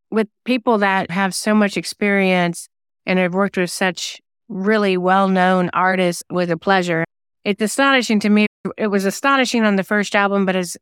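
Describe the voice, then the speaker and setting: A woman's voice: medium pitch, clean tone, no room sound, studio quality. A woman who writes songs, chatting casually as an interview guest. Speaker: singer-songwriter guest.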